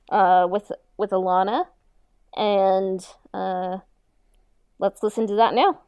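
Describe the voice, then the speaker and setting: A woman's voice: medium pitch, a little mellow. A woman co-hosting a podcast in casual, hesitant talk peppered with "like" and "you know". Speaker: podcast co-host. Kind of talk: casual chat.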